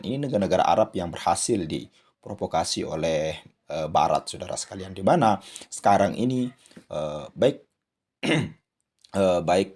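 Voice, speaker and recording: A man hosting his channel, giving a spoken commentary with a hoarse voice and many hesitant fillers. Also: sits low, darkish tone. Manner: addresses viewers as "saudara sekalian", frequent "eh" fillers. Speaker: channel host. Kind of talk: commentary monologue.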